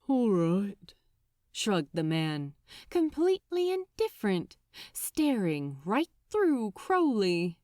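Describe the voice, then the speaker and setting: A woman narrating scripted fiction dramatically, with theatrical, deliberate, suspenseful phrasing. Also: a little high, a little mellow, dry recording, studio quality. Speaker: audiobook narrator.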